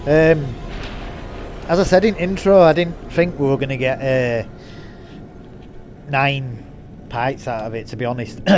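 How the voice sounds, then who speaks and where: A man's voice: medium pitch, a little mellow, slight background noise. Bunged-up man, chatty. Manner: chatty, rambling, frequent ums. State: congested with a head cold. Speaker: gaming channel host. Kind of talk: casual intro to a gameplay video. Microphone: desk mic he switches off.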